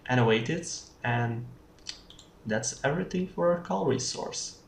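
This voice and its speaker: A presenter giving an unscripted screencast coding tutorial, haltingly thinking aloud while typing. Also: mid-range voice, animated. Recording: roomy, distant mic with clear background noise. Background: keyboard typing and mouse clicks.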